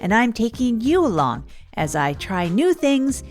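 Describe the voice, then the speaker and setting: A woman's voice: fairly high pitch, sing-song, a little slow, soft background music. A woman playing an upbeat, awkward, down-on-their-luck local radio host in an improvised comedy audio drama, chatting informally and hesitantly. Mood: welcoming. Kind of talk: improvised comic monologue, chatty radio storytelling.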